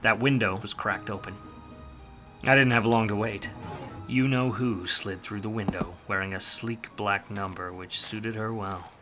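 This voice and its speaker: Man acting a low-voiced hardboiled noir detective. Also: steady tone, a little slow, music in the background, close to an everyday voice. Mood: wry, weary. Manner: wry, sardonic, slangy. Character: hardboiled, wisecracking 1940s male private detective. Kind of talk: film noir radio play narration and dialogue.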